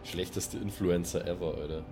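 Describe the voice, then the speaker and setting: A man's voice: sits low, steady tone, normal speed. A man playing a tabletop role-playing game, chatting casually and explaining things in a rambling, improvised way. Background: music.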